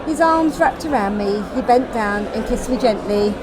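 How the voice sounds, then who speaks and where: A woman's voice: on the high side, lively intonation, normal speed, rough recording. A woman narrating her own short story in the first person, with acted dialogue. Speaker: author reading her story. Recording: loud crowd noise in public room.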